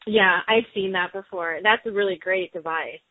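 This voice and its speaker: A woman's voice: mid-range voice, moderate pace, no room sound. A woman co-hosting a casual radio show, upbeat and supportive. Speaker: co-host. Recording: tinny phone-line sound.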